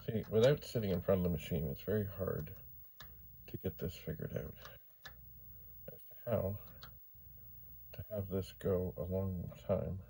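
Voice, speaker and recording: A man's voice: deep voice, gravelly, warm tone, a little hiss or hum, low-quality recording. A man hosting a hobby video, giving casual, rambling commentary as he demonstrates, with musing asides to himself. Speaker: host of a retro computer video channel.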